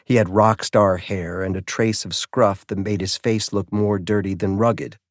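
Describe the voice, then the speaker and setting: Mystery audiobook narrator: adult male, lower register, scripted prose, close dry sound. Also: steady tone, measured pace, no echo.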